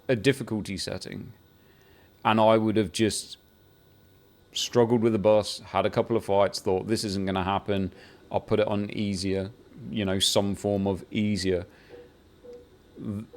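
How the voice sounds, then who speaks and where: A man with a fairly low voice talks off the cuff about a game he has been playing. He rambles with plenty of restarts and the occasional swear word, and now and then builds into a fired-up burst. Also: fairly even intonation, measured pace, pauses often. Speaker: gaming video creator. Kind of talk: casual unscripted game talk to camera.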